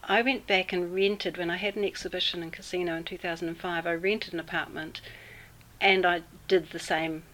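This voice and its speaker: A woman with a New Zealand accent telling a personal story in an interview, unhurried and full of pauses. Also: lowish voice, steady tone, fairly bright tone, rough recording. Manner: unhurried, with many fillers and pauses. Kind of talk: casual storytelling.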